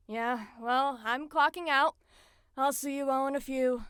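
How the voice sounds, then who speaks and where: A woman's voice: sits high, steady tone, slow pace, a bit bright, dry recording, clean studio sound. A woman playing a harried, sarcastic hospital ward supervisor in an audio drama. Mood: exasperated, sardonic.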